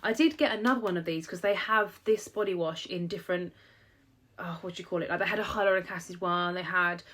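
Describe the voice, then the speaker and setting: A woman's voice: on the low side, fast talker, a bit bright. A young British woman vlogger with a cold, sounding slightly nasal and bunged up, chatting casually to camera about her shopping haul with lots of "um" and "like". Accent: British English. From England. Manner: chatty, rambling, many "um" and "like". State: blocked nose from a cold.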